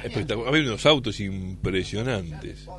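A man's voice: fairly low pitch, expressive, brisk, fairly bright tone. An Argentine man in his fifties hosting with casual, jokey, slangy banter and repeated catchphrases. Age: 50s. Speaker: radio host.

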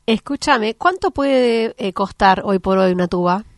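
A woman with a Buenos Aires area Argentine accent, hosting an informal interview in a chatty, somewhat halting way. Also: mid-range voice, expressive. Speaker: interview host.

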